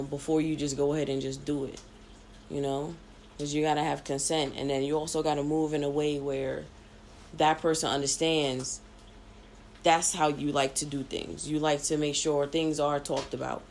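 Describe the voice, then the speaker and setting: A woman guest on a casual podcast, relaxed and matter-of-fact, often filling with "you know". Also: deep voice, slight background noise. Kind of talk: casual explicit podcast chat.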